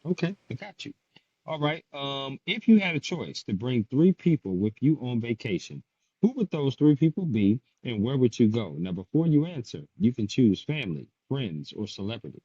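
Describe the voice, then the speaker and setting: A man's voice: medium pitch, big pitch swings, moderate pace, warm tone, slightly rough. A man hosting a casual podcast interview, firing off playful questions with some slang. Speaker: podcast host. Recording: dull lo-fi sound.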